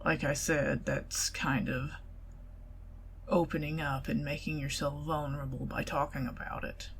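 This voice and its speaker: A middle-aged woman with a Southern US accent, speaking softly and partly in whispers in an ASMR date roleplay. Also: low-pitched, level tone, unhurried, creaky, a little hiss or hum. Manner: intimate, soft-spoken, giggly, partly whispered.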